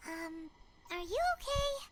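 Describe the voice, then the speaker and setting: Game casting: a chirpy little fairy sidekick, very high and squeaky, swooping melody, unhurried, loud music behind. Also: female voice, lively intonation, full character voice. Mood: concerned. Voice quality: squeaky, childlike. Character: tiny chirpy floating fairy companion, eager and caring. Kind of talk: English video game voice acting.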